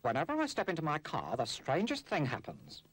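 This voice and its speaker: A man's voice: fairly high pitch, wildly swooping pitch, brisk, heavy background noise. A man playing a complacent, bumbling motorist turned dinosaur, musing in a self-satisfied way, in an old cartoon information film. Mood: puzzled musing. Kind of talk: 1960s cartoon public information film acting.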